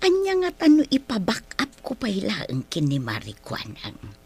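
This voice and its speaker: A woman's voice: mid-range voice, wildly swooping pitch, a little slow, darkish tone, breathy edge, exaggerated voice. A woman playing a flustered, evasive village woman in a comedic drama, with an Ilocano accent and hesitant, interjection-filled speech. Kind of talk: comedic radio-style drama acting.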